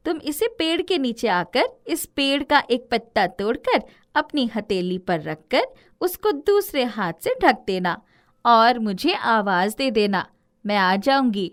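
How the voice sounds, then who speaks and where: A woman voicing a kindly, gentle fairy who speaks reassuringly in a children's storybook tale. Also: on the high side, very animated, takes it slowly. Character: kindly, gentle female fairy guardian of the forest.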